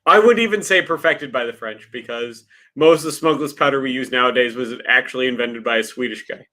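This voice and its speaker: A man's voice: mid-range voice, sharp tone. A man chatting as a co-host on a livestream, opinionated and swearing casually. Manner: swearing, opinionated, quick to laugh.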